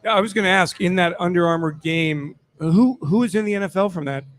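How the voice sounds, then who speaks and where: A man's voice: high-pitched, brisk, a bit bright. A man with an American accent asking questions in casual sports talk as part of a panel.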